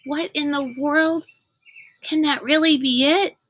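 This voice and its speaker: A woman's voice: high-pitched, steady tone, slow, a bit bright, voice pushed up. A woman voicing a cautious, earnest young boy in a children's storybook, explaining things in a worried way. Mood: puzzled. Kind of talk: children's storybook read-aloud.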